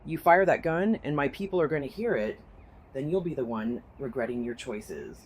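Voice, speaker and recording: A woman's voice: a bass voice, sing-song, normal speed, a little mellow, a little hiss or hum. A woman playing a hostile, desperate survivor in an audio drama, confrontational and sardonic. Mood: threatening. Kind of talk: full-cast audio drama acting.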